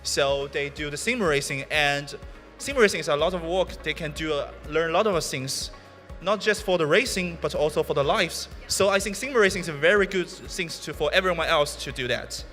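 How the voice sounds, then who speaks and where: Chinese man speaking halting English in a hall. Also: fairly high pitch, sharp tone, soft background music. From China. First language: Mandarin. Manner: halting non-native English with restarts. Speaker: sim racing competitor. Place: event stage, microphone in a hall.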